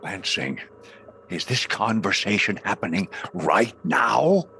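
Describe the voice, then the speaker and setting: A man's voice: lowish voice, sing-song, takes it slowly, creaky, pushed and bright, slight background noise, heavily acted. A man playing an exasperated, bossy intelligence chief in a radio play, blustering.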